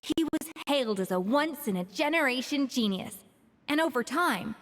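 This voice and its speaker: Brief: a poised chef, cool and exact, fairly high voice, game dialogue with reverb. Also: female voice, animated. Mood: explaining. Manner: measured, dry, lightly disdainful. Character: composed woman head chef, precise and frank. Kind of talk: video game voice acting. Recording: reverberant game audio with soft music.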